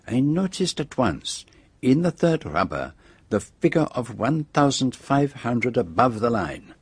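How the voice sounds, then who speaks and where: A shrewd foreign sleuth in a radio mystery, speaking with a French-speaking accent in a close, dry sound. His voice is slightly husky, and he sets out each point precisely, with theatrical self-satisfaction. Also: medium pitch, sing-song, unhurried, dry recording, heavily acted. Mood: triumphant explaining. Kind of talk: radio drama acting.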